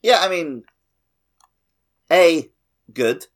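A man casually chatting as a podcast host, animated and dryly opinionated. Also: a little high, extremely slow. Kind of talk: casual chat on a gaming podcast.

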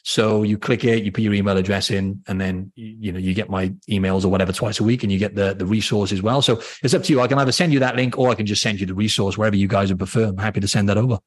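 A man's voice: lowish voice, steady tone, very fast, few pauses, husky. A man from Wales with a mixed Welsh and Australian accent, a guest chatting casually and rambling a little with ums and restarts. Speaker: guest, fitness coach. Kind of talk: casual interview chat.

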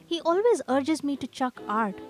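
A woman's voice: high voice, sing-song, normal speed, faint music behind. A woman playing an earnest, struggling young male painter in a radio drama, with a plaintive, theatrical manner. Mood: aggrieved. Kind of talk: radio drama character acting.

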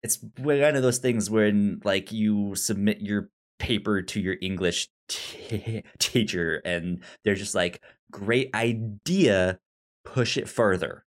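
A podcast co-host talking casually about film in a halting way, often repeating words and syllables. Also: sits low.

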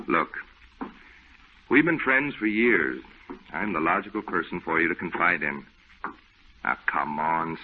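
Hearty buddy, deep, brisk and coaxing, old-time radio drama, phone-like sound. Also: male voice. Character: hearty, reassuring male friend, middle-aged. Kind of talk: 1940s radio acting. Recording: tinny old radio broadcast with faint hiss.

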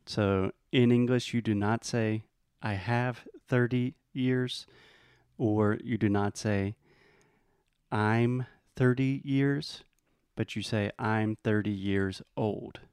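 An American man teaching English in a bilingual lesson, explaining patiently and modeling phrases slowly. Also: on the low side, steady tone, a little mellow, clear, resonant tone, dry recording, studio quality. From United States.

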